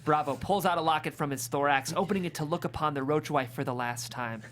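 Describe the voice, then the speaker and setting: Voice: medium pitch, quick pace, a little hiss or hum. A narrator vividly reading scripted stage directions at a comedic table read.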